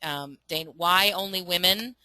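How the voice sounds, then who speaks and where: A woman's voice: fairly low pitch, measured pace, pushed and bright, clean tone, dry recording, clean studio sound. A woman moderating a webinar, earnestly relaying audience questions to a guest.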